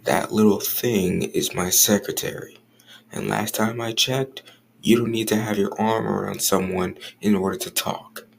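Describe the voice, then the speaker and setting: A man playing a stern, jealous, irritable office boss in an audio roleplay, curt and guarded, with a gravelly voice. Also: lowish voice, steady tone, a little slow, slight background noise, poor audio. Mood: irritated, stern. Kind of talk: scripted audio roleplay acting.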